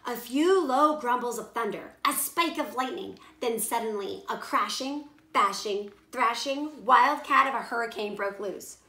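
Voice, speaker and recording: A woman reading a children's storybook aloud in animated narration. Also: on the high side, unhurried, hardly any pauses. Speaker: storybook reader. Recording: roomy echo.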